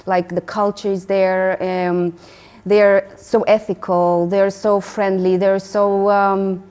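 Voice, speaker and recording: A Moldovan woman in her forties with a Moldovan accent, telling stories as a guest in a casual interview, animated and laughing, with many restarts. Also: medium pitch, slow, clean tone.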